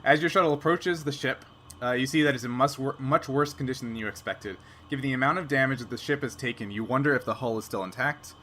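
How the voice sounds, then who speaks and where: A man narrating an improvised sci-fi tabletop game as game master, conversational and pausing to find his words. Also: medium pitch, sharp tone, slight background noise.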